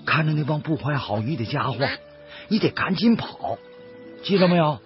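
A man's voice: fairly high pitch, animated, moderate pace, natural voice. An actor playing a kindly, principled boatman in a radio drama, with a folksy, earnest Northeastern Chinese accent. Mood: coaxing, fussing. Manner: folksy, earnest, plain-spoken. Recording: dull radio recording with loud music.

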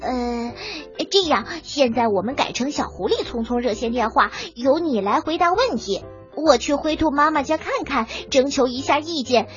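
A performer voicing a clumsy, good-natured bear cub in a children's story, speaking slowly and hesitantly. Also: sits high, expressive, a bit bright, lo-fi sound, soft background music. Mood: apologetic, earnest. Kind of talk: children's audio story acting.

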